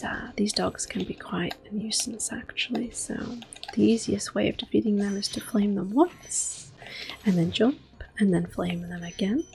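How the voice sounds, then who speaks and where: A woman giving soft-spoken ASMR gameplay commentary as host, often slipping into a breathy whisper, in a gentle, unhurried way. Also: medium pitch, low-quality recording. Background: soft video game music.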